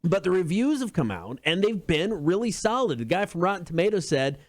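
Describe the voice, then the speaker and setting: A man's voice: high-pitched, very animated, fairly quick, no echo. A man co-hosting a casual entertainment talk show, chatting informally. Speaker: show co-host.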